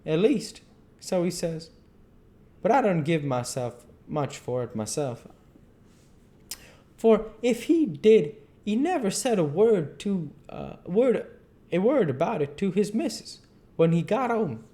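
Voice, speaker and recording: A man's voice: high voice, big pitch swings, takes it slowly, mellow. A man reading a classic novel aloud as an amateur narrator, stumbling and repeating phrases as he goes. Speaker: amateur audiobook reader.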